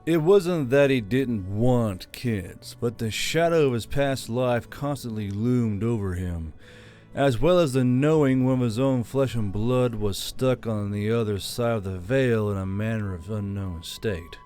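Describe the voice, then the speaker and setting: Male fantasy audiobook narrator over soft music. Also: mid-range voice, measured pace. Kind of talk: scripted fantasy novel narration. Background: background music.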